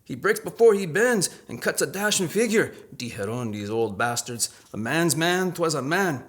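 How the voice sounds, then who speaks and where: Male poet from Arizona, slightly high-pitched, for readings and talk on his own poetry. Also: big pitch swings, normal speed, a bit bright. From Arizona, United States.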